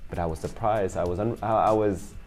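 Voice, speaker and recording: Deep-voiced man, halting speech. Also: darkish tone, faint music behind. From Chicago, USA. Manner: halting, many restarts and fillers. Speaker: guest, finance executive. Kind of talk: casual interview conversation.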